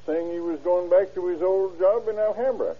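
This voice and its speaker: A disgruntled filling-station owner in a vintage radio play. The voice is fairly high and husky, blunt and full of slang. The recording is narrow and phone-like. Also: male voice, normal speed. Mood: aggrieved. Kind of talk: old-time radio drama acting.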